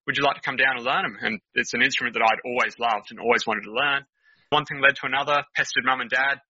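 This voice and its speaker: Aussie guy chatting casually as a guest, really sharp forceful voice w some rasp. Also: medium pitch, steady tone, fast, no echo, dull audio. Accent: Australian. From Australia. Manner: chatty, informal. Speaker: podcast guest, counsellor and motivational speaker. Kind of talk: casual storytelling chat.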